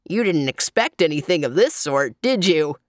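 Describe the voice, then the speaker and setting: A woman's voice: low voice, animated, moderate pace, no echo, studio quality. A woman voicing a silly, impudent male clown in a children's story, cross and easily annoyed. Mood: cheeky. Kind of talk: children's storybook character reading.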